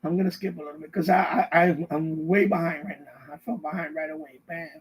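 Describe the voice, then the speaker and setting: A middle-aged man hosting a casual live stream, rambling and often repeating himself, with a husky voice. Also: fairly high pitch, steady tone, fairly quick. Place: basement.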